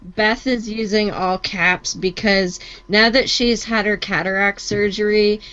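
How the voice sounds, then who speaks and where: A woman co-hosting a live show in casual chat, chatty and quick to laugh. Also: medium pitch, fairly even intonation, fairly bright tone, dull audio. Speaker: podcast co-host.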